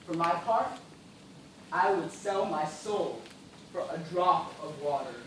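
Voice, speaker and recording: Stage drama: man as parched gentleman castaway, bitter, impatient, theatrical, heard far off in a very reverberant hall. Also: a little high, animated, takes it slowly. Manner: formal, theatrical, bitter delivery. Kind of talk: amateur one-act stage play acting. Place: indoor theatre stage, recorded from the audience distance. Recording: very echoey noisy hall, far away.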